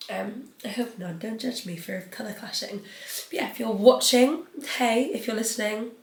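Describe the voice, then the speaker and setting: A woman's voice: a little high, moderate pace, vocal fry, roomy sound, a little hiss or hum. A young woman hosting a podcast solo, chatty and rambling, sounding stuffy and sniffly from a cold. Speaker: podcast host. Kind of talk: casual solo podcast chat.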